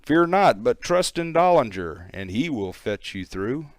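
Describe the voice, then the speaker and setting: Storybook pilot, man reader putting him on: brave, declamatory, reassuring, slow and measured, with a clean dry sound. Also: medium pitch, big pitch swings, no room sound. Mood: steadfast, reassuring.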